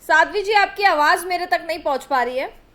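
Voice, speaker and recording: A woman's voice: extremely high pitch, fairly quick, bright, forceful tone. A woman hosting a live TV news panel debate as anchor.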